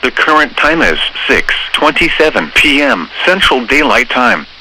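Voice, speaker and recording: A synthetic male voice reading scripted emergency weather bulletins in a formal, measured, impersonal way over weather radio. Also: medium pitch, very animated, sharp tone. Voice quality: synthetic text-to-speech voice. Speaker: automated weather radio announcer. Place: automated broadcast received over radio. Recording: noisy weather radio broadcast.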